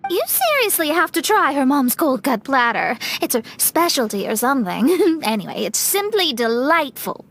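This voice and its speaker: A woman voicing a proud young female astrologist, expressive and easily flustered, in an anime fantasy game. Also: sits high, normal speed, slight background noise, heavily acted. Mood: delighted.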